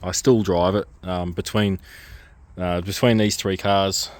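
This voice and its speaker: A man vlogging casually about his cars, a bit hoarse from a starting cold, rambling with lots of ums and restarts. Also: low-pitched, little pitch movement, unhurried, dry recording. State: getting a cold, losing his voice. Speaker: car vlogger. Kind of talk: casual walkaround vlog.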